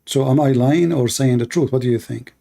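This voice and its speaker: A man's voice: medium pitch, fairly quick, creaky, mellow. A man with an Arabic accent hosting a call-in show, questioning callers insistently and telling stories with animation. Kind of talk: call-in debate.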